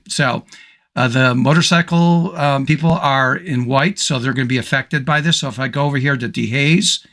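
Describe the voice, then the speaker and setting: A middle-aged man teaching photo editing over a screen recording, slightly hoarse and thinking aloud with relaxed ums and restarts. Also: medium pitch. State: slightly hoarse, clearing throat. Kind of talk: screen-recorded software tutorial.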